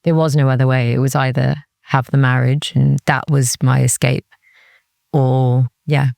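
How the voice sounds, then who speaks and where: A woman's voice: very deep voice, flat delivery, moderate pace, creaky, a little mellow, no room sound. A woman from Cardiff, Wales, speaking as an interview guest in a candid, serious, reflective conversational way, often quoting her own thoughts. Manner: candid, serious, peppered with "like" and quoted inner thoughts. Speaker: interview guest, music industry executive.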